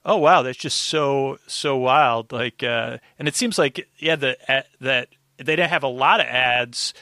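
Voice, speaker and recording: A man chatting casually as a podcast guest, rambling and halting as he circles back over his words. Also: mid-range voice, pushed and bright, no room sound, clean studio sound. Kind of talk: casual conversation, recapping an old radio show.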